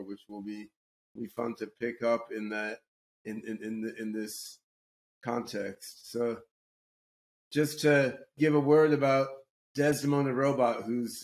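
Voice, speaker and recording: A man hosting a casual podcast conversation, talking in a halting way with many repeated words and restarts. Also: medium pitch. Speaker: podcast host.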